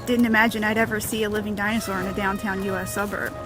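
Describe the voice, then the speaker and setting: A woman's voice: mid-range voice, little pitch movement, quick pace, lightly acted. A woman playing a young, eager and inquisitive junior wildlife agent in an audio drama. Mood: amazed. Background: background music.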